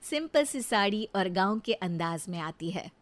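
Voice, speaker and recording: A woman's voice: medium pitch, lively intonation, normal speed. A woman narrating a scripted moral story as the storyteller.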